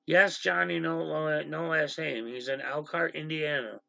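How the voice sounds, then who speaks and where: Gravelly man hosting a livestream. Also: a little high, dry recording. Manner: animated, exasperated, quick asides to the stream chat. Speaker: livestream host. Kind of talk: casual livestream chat. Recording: slightly dull lo-fi stream audio.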